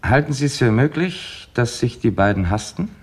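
An actor playing a calm, methodical, old-fashioned police chief in a 1960s German radio crime play, polite and probing. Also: male voice, medium pitch, husky. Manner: measured, polite, probing questioning. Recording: noisy 1960s radio drama recording.

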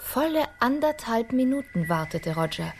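A woman's voice: on the high side, very animated, normal speed, a little mellow, slight background noise. A woman narrator telling a fairy tale in scripted radio play style.

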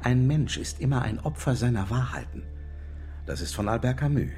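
Man acting cynical intelligence agent, glib, blunt, animated pitch, radio drama, light music. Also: moderate pace, lightly acted. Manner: blunt, matter-of-fact, glib. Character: smug, cynical male intelligence agent recruiting informants.

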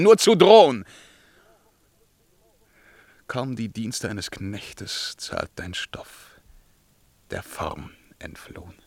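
An actor playing an anguished, imperious ruler in a verse radio drama, declaiming between whispers and loud commands, with a creaky voice. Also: male voice, fairly low pitch, dry recording, exaggerated voice. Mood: commanding, excited, then desolate, brooding. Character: anguished, imperious male ruler mourning his dead beloved.

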